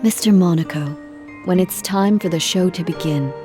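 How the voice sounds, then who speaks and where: A woman playing a courteous, reflective, dignified travelling performer in a video game, with measured formal delivery. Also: on the low side, natural voice. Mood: gracious. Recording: music under the voice.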